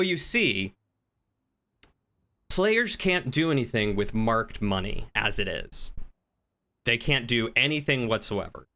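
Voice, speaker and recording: Bright, forceful-voiced man chatting on stream. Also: medium pitch. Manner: informal explanatory rambling, thinking aloud. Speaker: livestreamer and role-play gamer. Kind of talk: casual livestream chat with viewers.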